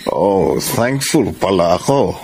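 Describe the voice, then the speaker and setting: An actor playing an eager rich foreign suitor in a radio drama, courteous and earnest, dropping in English words. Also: male voice, low voice, sing-song, slow, gravelly, a crowd in the background, exaggerated voice. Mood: charmed, friendly. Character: smooth-talking, eager rich Canadian male suitor. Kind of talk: Filipino radio drama acting. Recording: music under the voice.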